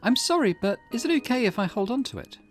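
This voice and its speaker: A man voicing an anxious, gentle, easily startled teenage schoolgirl in a visual novel, with nervous delivery. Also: high-pitched, sing-song, fast talker, faint music behind, voice pushed up. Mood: earnest, pleading.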